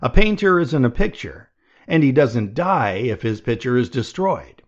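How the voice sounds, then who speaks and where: A man reading a nonfiction audiobook aloud in a measured, deliberate way. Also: medium pitch, sing-song, dull audio. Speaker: audiobook reader.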